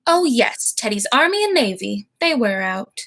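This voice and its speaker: A young woman acting a gentle, dainty elderly aunt in a high school radio play, mild and genteel. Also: big pitch swings, moderate pace, exaggerated voice. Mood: kindly.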